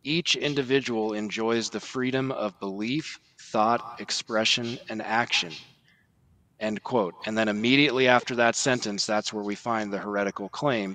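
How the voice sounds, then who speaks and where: Low-voiced man giving formal commentary. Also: a bit bright, poor audio. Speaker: podcast guest commentator. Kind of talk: religious commentary with quoted documents.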